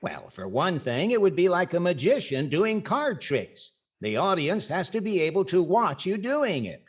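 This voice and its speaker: Animated, skeptical radio comedy star played by a man. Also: high voice, moderate pace, slightly rough, exaggerated voice. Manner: wry, doubtful, theatrical timing. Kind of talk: 1940s radio comedy acting.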